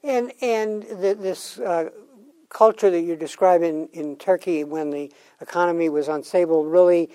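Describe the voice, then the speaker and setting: Halting male interviewer. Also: high voice, mellow, clean tone. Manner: halting, repeats words when asking questions. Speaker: interview host. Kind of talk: academic interview.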